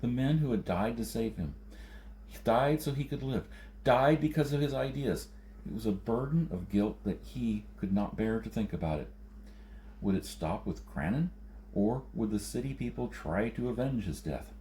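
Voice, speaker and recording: A man's voice: medium pitch, animated, normal speed, mellow, a little hiss or hum, low-quality recording. A man narrating a science fiction novel as an audiobook reader.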